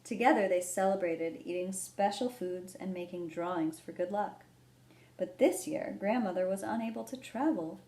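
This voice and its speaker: A woman hosting a children's storytime, explaining things simply and gently and reading a picture book aloud. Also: mid-range voice, normal speed. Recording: roomy echo, background noise.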